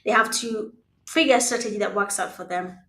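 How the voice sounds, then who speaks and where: A Kenyan woman whose first language is Swahili, giving live esports commentary in a conversational, hesitant way with pauses. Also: medium pitch, sharp tone. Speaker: esports caster. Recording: roomy, echoing sound.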